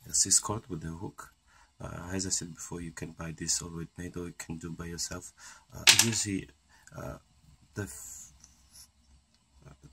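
Low gravelly guy, slow and halting, noisy camera audio. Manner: unhurried, hesitant with many fillers. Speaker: fishing tackle instructor. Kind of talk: casual hands-on tutorial. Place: indoors at a table. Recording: noisy home recording.

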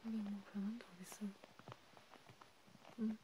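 Over clearly audible ambient noise, a woman whispers a soft ASMR roleplay. Her delivery is slow and gentle, with little movement in pitch. She leaves pauses and murmurs small acknowledgements to a single listener. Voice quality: whispery, breathy soft speech. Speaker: ASMR creator, host. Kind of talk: ASMR hand-massage roleplay, soft one-sided chat. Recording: clear steady background hiss, ambient noise.

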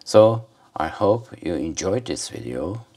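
A man's voice: low-pitched, very animated, takes it slowly, mellow. A man giving a casual hands-on product demonstration, rambling and muttering to himself as he goes. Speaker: gadget reviewer. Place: indoors at a desk.

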